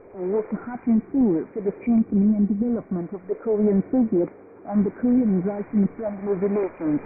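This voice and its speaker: A woman announcer reading formal state news in a solemn, measured, declamatory way over shortwave radio. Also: mid-range voice. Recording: noisy, tinny, phone-like shortwave radio broadcast.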